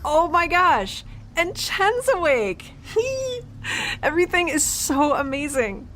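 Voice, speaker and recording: Bubbly, animated, rambling young spacefarer played by a woman. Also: extremely high pitch, slow pace, a little hiss or hum, exaggerated voice. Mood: overjoyed. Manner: rambling, bubbly, awkward, informal. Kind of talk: science fiction audio drama.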